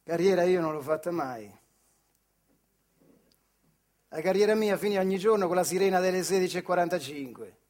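A man acting a plain-spoken factory worker in a radio theatre monologue. His high voice carries a central Italian accent as he tells his story in animated, colloquial turns, proud and stubborn, tossing in rhetorical questions. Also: fast talker, close to an everyday voice. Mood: proud, reflective. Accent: central Italian dialect. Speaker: actor-narrator.

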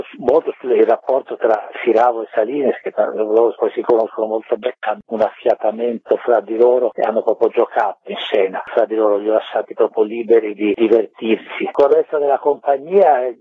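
A husky-voiced man speaks over a thin telephone line with very little room sound. His pitch sits in the middle, and he explains things expansively, in the conversational way of a director being interviewed. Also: dry recording. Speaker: theatre director, interview guest.

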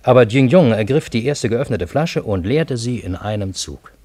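An actor as a wry first-person storyteller, narrating a radio drama in a measured, matter-of-fact way. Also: male voice, mid-range voice, expressive, darkish tone, dry recording, lightly acted. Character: wry male first-person storyteller recounting strange events.

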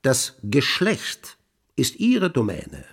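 A man playing a grandiloquent scholar in a radio play, recounting witchcraft lore with relish in declamatory, archaic phrasing and emphatic pauses. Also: medium pitch, huge pitch swings, husky, full character voice. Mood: declamatory, accusing. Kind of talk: theatrical literary storytelling in a radio play.

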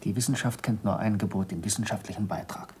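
An actor playing an earnest, intense younger former pupil of a scientist in a 1960s German radio play, with formal, urgent stage diction. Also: male voice, lowish voice, quick pace, a little mellow, close to an everyday voice. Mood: excited, insistent. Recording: slightly noisy old radio recording.

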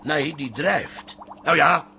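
A man's voice: fairly high pitch, slow pace, gravelly, heavily acted. An actor playing an elderly, playful, needling father-in-law in a radio comedy, with noisy ambience around him. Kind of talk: radio comedy drama acting.

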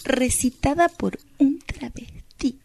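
Woman playing a scandalized, high-pitched Argentine mother. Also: slow, gravelly, a little mellow, husky, full character voice. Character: scandalized, nosy, fussy older Argentine mother. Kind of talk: radio drama acting.

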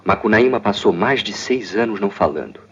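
A man playing a solemn, measured storyteller narrating a mock-heroic folk tale in a film. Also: fairly low pitch, lively intonation, a loud crowd, natural voice. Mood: solemn, wry. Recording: dull old film soundtrack, music behind.

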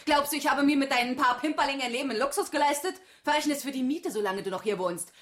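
A scornful wife in a naturalistic radio play. She talks quickly in a slightly high voice, sarcastic and indignant, with a sharp tongue for her husband. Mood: biting. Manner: biting, sarcastic, theatrical indignation. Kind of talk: naturalistic German radio drama acting.

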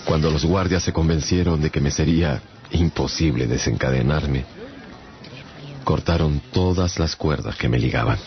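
A man's voice: extremely low pitch, moderate pace. An actor playing a bemused shipwrecked traveller, telling his adventures in a theatrical, wry radio-drama narration. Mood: wry, reflective. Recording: dull lo-fi sound with music behind. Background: music.

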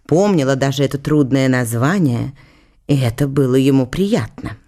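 A woman's voice: very deep voice, animated, normal speed, warm tone. A woman narrating a historical radio drama in a dramatised literary style.

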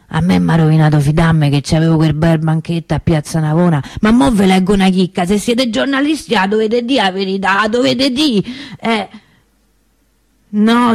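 An actress playing a middle-aged working-class Roman souvenir seller in a theatrical monologue, rambling and confiding in a colloquial, indignant way. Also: female voice, medium pitch, darkish tone, no room sound, clean studio sound, lightly acted. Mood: rueful, confiding. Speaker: stage and radio actress.

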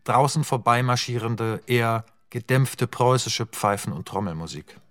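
A man's voice: medium pitch, moderate pace, fairly bright tone, no room sound, soft background music. A man narrating a radio play, reading out stage directions in a precise, matter-of-fact way.

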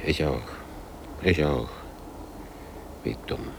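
A man's voice: a bass voice, very slow, creaky, background noise, heavily acted. An actor in a radio drama playing a gloomy, sardonic older man, terse and dry, often questioning. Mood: resigned.

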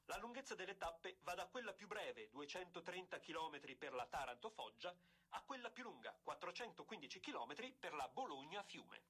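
Forceful, husky vintage race commentator. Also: male voice, high-pitched, very animated, brisk, exaggerated voice. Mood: proud, announcing. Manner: formal, declamatory announcer delivery. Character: emphatic 1920s radio commentator announcing a bicycle race. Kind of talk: period sports commentary, theatrical radio acting.

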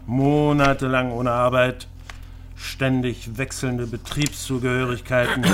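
An actor playing a condescending East German personnel official who lectures with false concern in a radio drama. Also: male voice, mid-range voice, lightly acted. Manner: deliberate, condescending.

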